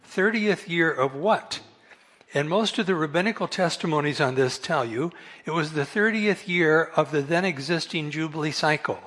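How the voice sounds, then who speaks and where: A soft-spoken man giving a relaxed Bible study talk over a microphone in a meeting hall, correcting himself and chuckling now and then. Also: on the high side, moderate pace. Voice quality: soft-voiced. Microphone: amplified microphone.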